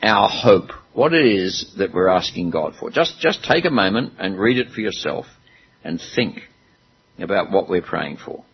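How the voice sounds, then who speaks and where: A man's voice: low-pitched, lively intonation, a little slow, breathy edge, lo-fi sound. A man preaching a sermon in a church hall, talking in a chatty way with some self-deprecating humor.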